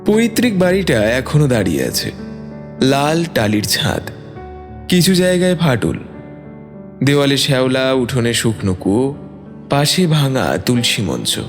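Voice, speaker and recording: A man's voice: mid-range voice, big pitch swings, measured pace, long pauses. A man narrating a scripted dramatic story as a storyteller. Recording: long reverb, music bed. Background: music.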